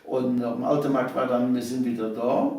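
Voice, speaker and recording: Older man, gravelly, reminiscing, very echoey room, distant mic. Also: mid-range voice, fairly even intonation, moderate pace, dark tone. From Cologne, Germany. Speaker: interview guest, local history author. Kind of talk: anecdotal reminiscing in conversation. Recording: roomy, echoing, distant microphone.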